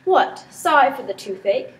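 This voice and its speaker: A teenage girl playing a teasing, excitable prince in an amateur Shakespeare performance. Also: high voice, a little slow. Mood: playful teasing. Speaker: student performer. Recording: distant mic with background noise.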